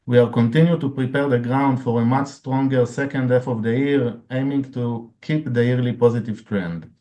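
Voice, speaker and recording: Guy cfo reading quarterly numbers on a call, formal, mellow voice. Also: mid-range voice, steady tone, moderate pace. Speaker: chief financial officer presenting results. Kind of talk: scripted financial report on an earnings call.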